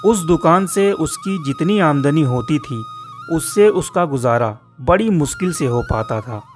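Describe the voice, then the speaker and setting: Slow-paced male story narrator. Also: fairly high pitch, lively intonation. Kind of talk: scripted storybook narration for a cartoon. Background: soft guitar music.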